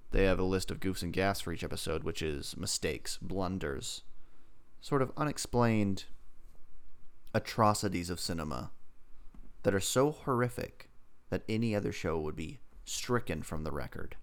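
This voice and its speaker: A man hosting a podcast in casual, joking banter, teasing in a dry, deadpan way. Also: sits low, moderate pace. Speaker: podcast host.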